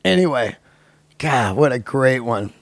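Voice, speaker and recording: A man hosting a podcast in an unscripted, excitable and effusive way, with slangy, sweary talk. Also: medium pitch, a little slow, dry recording. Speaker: podcast host and comedian. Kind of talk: unscripted podcast intro and chat.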